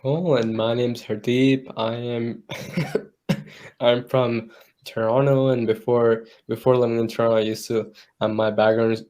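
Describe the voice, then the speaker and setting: A young man from India, a university student, chatting in a relaxed way with easy laughs on a video call. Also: mid-range voice, unhurried. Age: young adult. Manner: relaxed, laughs easily, loose phrasing. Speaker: panel guest, student. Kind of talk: casual chat.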